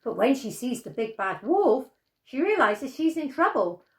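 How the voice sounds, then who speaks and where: An older woman telling a bedtime story in an animated storyteller's style. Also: high voice, a little slow, echoey room.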